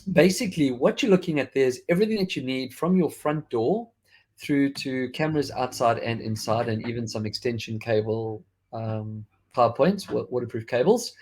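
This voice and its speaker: A man from South Africa with a faded South African accent, hosting a podcast in a chatty, rambling way with frequent restarts. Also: mid-range voice. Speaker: podcast host. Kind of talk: casual tech chat.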